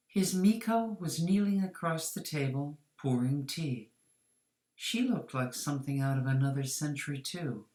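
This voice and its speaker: Echoey mid-pitched storyteller reading fiction. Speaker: author reading their own short story. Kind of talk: scripted narration, audiobook-style fiction reading. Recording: roomy, echoing sound.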